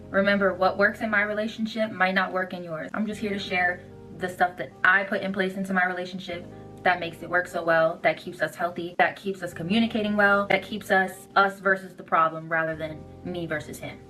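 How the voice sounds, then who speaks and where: A woman's voice: on the low side, steady tone, brisk, bright, forceful tone, soft background music, poor audio. A woman giving firm, emphatic relationship advice straight to her listeners.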